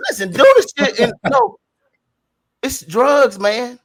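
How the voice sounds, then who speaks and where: A man's voice: very high-pitched, huge pitch swings, takes it slowly, creaky, fairly bright tone. A man co-hosting a talk show, ranting casually in colloquial street talk, repeating himself and swearing mildly as he preaches. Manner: frequent mild swearing. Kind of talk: casual opinionated rant to co-hosts and audience.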